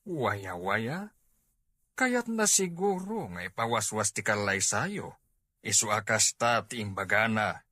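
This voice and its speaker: A man's voice: fairly low pitch, animated, slow, pushed and bright, no room sound, exaggerated voice. A man playing an older, concerned Filipino father in a comedy drama. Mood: disapproving. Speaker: actor in an audio drama.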